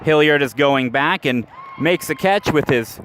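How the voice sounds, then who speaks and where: A man's voice: fairly high pitch, lively intonation, fairly bright tone, a faint crowd, slight background noise. Man calling baseball play-by-play. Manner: measured, rises sharply on big plays. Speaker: play-by-play announcer. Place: ballpark, outdoors.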